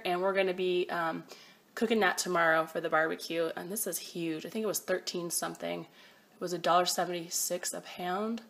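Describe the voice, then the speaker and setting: A woman in her thirties, hoarse from a cold, chatting casually through a grocery haul at home with many ums. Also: on the low side, a bit bright. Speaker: vlogger. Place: at home, by a table.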